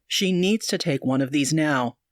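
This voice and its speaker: A woman voicing an earnest young male doctor who speaks low, grave and pleading. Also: moderate pace, no room sound, clean studio sound, lightly acted. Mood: urgent.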